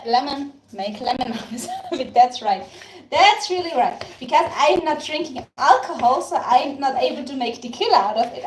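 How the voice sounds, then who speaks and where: High-voiced Austrian woman chatting live. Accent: Austrian German. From Austria (Tyrol). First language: German (Tyrolean dialect). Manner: chatty, answers viewer comments, thanks them often. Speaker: live-stream host. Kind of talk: casual live-stream chat while baking. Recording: echoey room with background noise.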